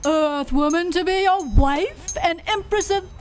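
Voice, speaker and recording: A woman in a live amateur radio play, acting a solemn, scheming male high priest who speaks in a formal, ceremonious way. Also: unhurried, faint music behind.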